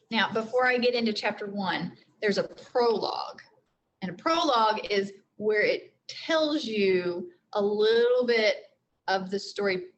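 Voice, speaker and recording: Woman, teacher, children's read-aloud storytelling, simple explanations, mid-pitched voice, noisy room ambience. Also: lo-fi sound. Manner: explains simply, with frequent check-in questions. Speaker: teacher reading aloud to students.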